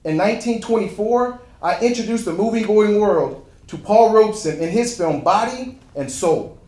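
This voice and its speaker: Man playing a proud filmmaker, high-pitched, echoey. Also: lively intonation, normal speed, a little mellow. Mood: proud, measured. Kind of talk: first-person historical monologue, read aloud. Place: indoor room at a live reading event. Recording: echoey room, live stage reading.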